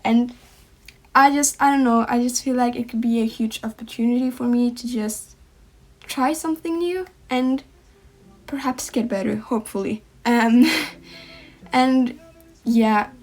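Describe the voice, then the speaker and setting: A Norwegian teenage girl with a Norwegian accent, speaking English as a second language and chatting casually to camera with many pauses and ums. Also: fairly high pitch, darkish tone. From Norway. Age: teens. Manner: rambling, hesitant, frequent ums. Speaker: art channel host.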